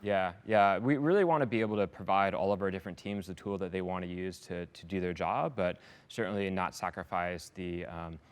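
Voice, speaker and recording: A man giving polished, upbeat answers in a business interview, speaking as a software company executive. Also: low-pitched, a little fast, hardly any pauses, clear, resonant tone. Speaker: head of sales, success and support at a software company, interviewed as a customer.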